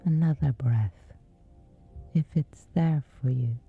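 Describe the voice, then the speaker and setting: A woman with a whispery voice, slowly and gently guiding a sleep meditation. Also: rumbling low, vocal fry, narrow, tinny audio, faint music behind. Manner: slow, soothing, unhurried. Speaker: meditation guide.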